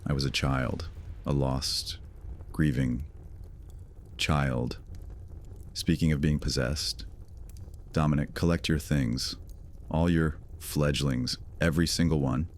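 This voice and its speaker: Man playing a very deep-voiced, menacing vampire lord. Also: steady tone. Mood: bitter, accusing. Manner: theatrical, taunting, deliberate. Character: menacing, brooding, sardonic male vampire lord. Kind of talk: cozy horror audio drama acting.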